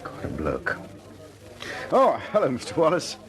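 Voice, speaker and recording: A frank, comradely RAF airman who likes his drink. His pitch swings widely in the manner of old radio drama. A crowd murmurs clearly behind him on the old recording. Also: huge pitch swings, unhurried. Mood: grave, firm.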